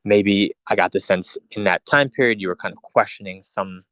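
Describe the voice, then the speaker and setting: A man in his forties hosts an online interview, feeling his way through questions with many fillers. His voice sits a little low. The recording is dry. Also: normal speed, a bit bright, dry recording. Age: late 30s or older. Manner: hesitant, searching phrasing with many fillers. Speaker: host. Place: online voice chat.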